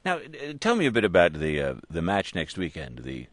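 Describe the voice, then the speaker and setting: A man hosting a public radio interview, with a wry manner and drawn-out emphasis on words. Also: deep voice, wildly swooping pitch, no echo. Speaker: radio host.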